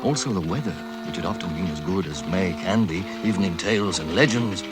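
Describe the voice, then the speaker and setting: Narrator for a fantasy radio play, lower register, measured scripted storytelling, prominent music underneath. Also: male voice, expressive. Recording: vintage radio drama, loud music behind.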